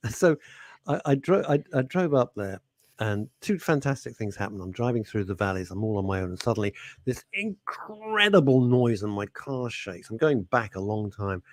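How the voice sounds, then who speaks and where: A man's voice: fairly low pitch, moderate pace, no room sound. A man co-hosting a radio talk show, telling rambling stories with laughs and false starts in casual studio chat. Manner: lively, rambling storyteller with laughs and restarts.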